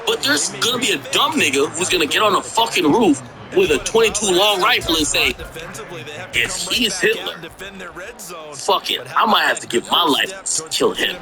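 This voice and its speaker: A man's voice: high-pitched, very animated, normal speed, a crowd in the background. A man from Jamaica ranting about politics in a blunt, profane way, often stammering and restarting his sentences. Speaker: streamer. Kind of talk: casual political rant.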